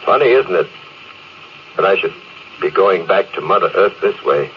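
An actor playing a stern, stoic spaceship captain with a grave, authoritative manner in 1950s radio drama style. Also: male voice, low-pitched, animated, normal speed. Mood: resigned. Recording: old muffled radio recording with light hiss.

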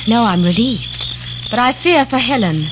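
A woman's voice: a little high, expressive, normal speed, natural voice. A woman playing a frightened, defiant fiancée. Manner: tense, defiant. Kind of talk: 1940s radio acting. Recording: noisy old radio recording.

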